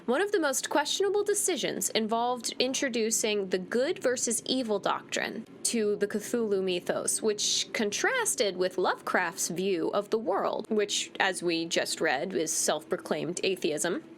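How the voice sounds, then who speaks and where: A woman's voice: medium pitch, big pitch swings, measured pace, few pauses, a little hiss or hum. A woman hosting a game playthrough, reading text aloud and commenting on it in a casual, wry, playful way.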